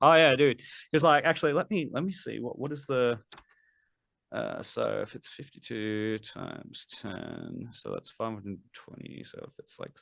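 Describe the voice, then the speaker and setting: A man's voice: mid-range voice, a little slow, creaky, fairly bright tone, no echo. A man in his thirties, a metal vocalist guest on a podcast, chatting casually in a rambling way with lots of fillers and casual swearing. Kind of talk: casual interview chat.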